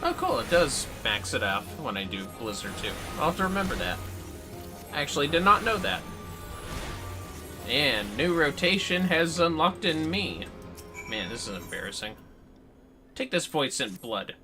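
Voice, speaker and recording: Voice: mid-range voice, sing-song, moderate pace, long pauses. Playful, sweary game streamer over music. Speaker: game livestreamer. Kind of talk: casual gameplay commentary with chat replies.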